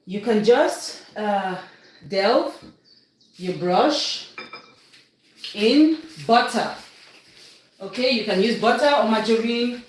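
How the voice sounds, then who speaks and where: A woman's voice: a little high, lively intonation, slow pace, pauses often. A woman from Cameroon with a Cameroonian English accent, hosting a casual live baking demonstration and often checking in with her viewers. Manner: chatty, instructive, keeps checking in with viewers. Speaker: cooking livestream host. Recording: very echoey room, faint noise.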